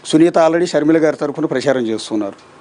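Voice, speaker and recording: A man's voice: fairly high pitch, quick pace, warm tone. A man working as a television news interviewer, putting probing questions and checking statements point by point.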